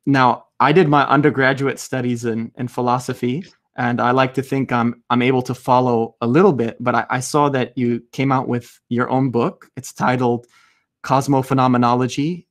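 Man hosting, thoughtful and halting. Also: medium pitch. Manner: thoughtful, halting with frequent restarts and fillers. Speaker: podcast host. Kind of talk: casual video-call interview. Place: small room at home. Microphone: studio mic with headphones.

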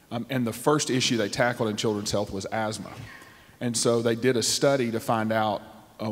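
A man on a discussion panel, speaking in a measured way with frequent ums, into a handheld mic on a theater stage. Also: lowish voice. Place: large theater stage with audience. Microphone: handheld microphone. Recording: long hall reverb from a theater.

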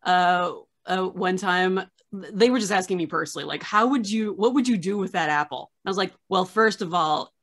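Thirties woman, low-leaning voice, casual animated host on a home video call. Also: normal speed. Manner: chatty, animated, laughs often. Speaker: archivist and show host. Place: home room with framed pictures on yellow wall.

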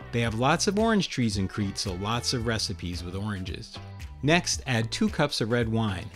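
A man's voice: medium pitch, fairly bright tone. Middle-aged man hosting a home cooking lesson. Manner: friendly, explanatory, step-by-step instructions. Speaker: cooking show host. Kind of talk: casual cooking demonstration. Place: home kitchen indoors.

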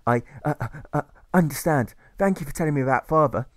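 A man's voice: a little high, no echo, lightly acted. Storybook young boy, read by a man: earnest, hesitant, affectionate, recorded dry. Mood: hesitant, grateful. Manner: halting, earnest.

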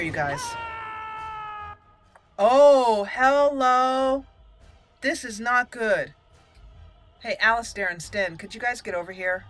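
A woman's voice: mid-range voice, a bit bright. A woman hosting a playful, chatty game commentary, talking to the characters as she plays. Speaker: gaming channel host. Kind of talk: casual roleplay game commentary.

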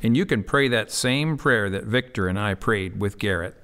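A man's voice: lowish voice, moderate pace, fairly bright tone, clear, resonant tone. A man playing an earnest, helpful believer who shares his faith in a scripted amateur radio drama. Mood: encouraging.

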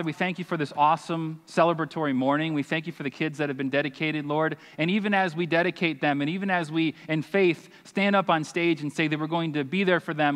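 A man in his forties preaching from a church stage with a slightly high, earnest voice. He talks emphatically and throws in quick asides to the congregation. His voice rings with the long reverb of a large hall. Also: a bit bright. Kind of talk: sermon with scripture reading and prayer.

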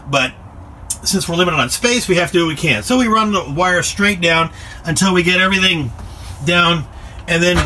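Middle-aged American male DIY vlogger, bright forceful voice pitched a bit high, folksy explaining, noisy phone recording. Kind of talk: casual explanatory how-to talk. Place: inside a small aluminum cargo or horse trailer. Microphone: phone at arm's length. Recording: noisy small metal trailer interior.

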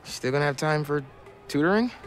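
An actor playing a casual, quick-witted young man bantering with friends in a video game. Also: fairly high pitch, lively intonation, natural voice. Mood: uneasy, casual.

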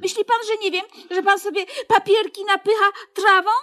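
An actress playing a fussy, nagging, long-suffering pensioner in a radio comedy, prim and inquisitive, stammering in exasperation. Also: female voice, very high voice, flat delivery, brisk, fairly bright tone. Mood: suspicious. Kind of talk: comedic radio play acting.

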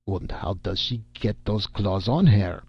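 A man's voice: on the low side, a little slow, creaky, husky, exaggerated voice. A man voicing an angry, insistent elderly German American tattooist with a German accent in a storybook reading. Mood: indignant. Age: older. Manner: indignant, insistent. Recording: dull, roomy amateur audiobook recording.

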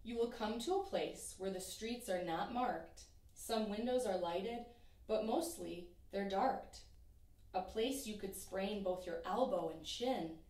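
A young woman teacher reading a rhyming children's picture book aloud in an expressive, sing-song way. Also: mid-range voice, a little slow, recorded from a distance. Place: small room in front of a painted backdrop. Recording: echoey room, voice from off-mic.

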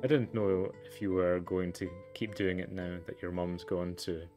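A man's voice: low-pitched, steady tone, moderate pace, over music, lightly acted. A man voicing a chatty, tactless young tiger boy in a storybook game. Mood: chatty, awkward.

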